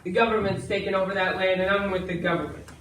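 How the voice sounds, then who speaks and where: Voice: mid-range voice, fairly even intonation, fast talker, a bit bright, natural voice. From far off in a noisy, echoey hall comes a scheming melodrama villain acted on a school stage. The swindler talks with false geniality and savors words theatrically. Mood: smugly threatening. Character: smooth-talking scheming villain swindler, fake government man in top hat. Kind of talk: amateur stage melodrama acting.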